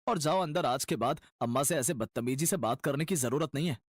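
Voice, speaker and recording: An actor playing a kind-hearted, troubled young farmer husband in a cartoon story, earnest and plaintive. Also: male voice, mid-range voice, a little fast, breathy edge, no room sound, clean studio sound, lightly acted. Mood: stern. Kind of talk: Hindi cartoon story acting.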